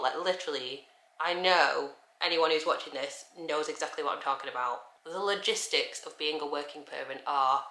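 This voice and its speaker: A woman, chatting candidly in a sit-down vlog. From England. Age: 30s. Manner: chatty and candid, lively shifts in pitch. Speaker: vlogger. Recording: echoey room.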